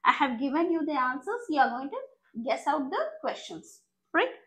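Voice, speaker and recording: A woman with a Telugu accent and Telugu as her first language, teaching English grammar in a quick, chatty way and checking in with her students after nearly every point. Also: high-pitched, sing-song, a bit bright. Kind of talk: bilingual classroom explanation.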